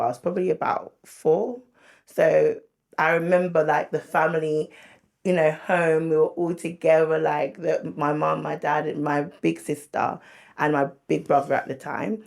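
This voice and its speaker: Woman, gravelly texture, relaxed guest storyteller. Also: deep voice. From England. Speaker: guest musician. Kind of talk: relaxed podcast conversation.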